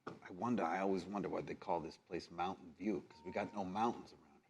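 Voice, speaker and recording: A man's voice: sits low, fairly even intonation, quick pace, gravelly, a little mellow, a little hiss or hum. A man role-playing casually as a player at a live tabletop game, with a chatty, colloquial way of talking. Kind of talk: casual in-character role-play at a live show. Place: live stage at a gaming convention. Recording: echoey hall, live convention show.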